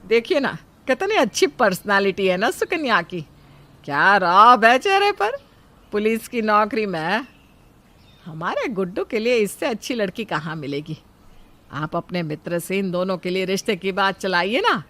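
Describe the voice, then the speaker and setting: A woman's voice: medium pitch, very animated, normal speed, fairly bright tone. A woman playing a complaining, scheming elderly Indian mother-in-law in a cartoon story, with dramatic delivery. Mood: eager. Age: older. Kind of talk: acted cartoon story dialogue.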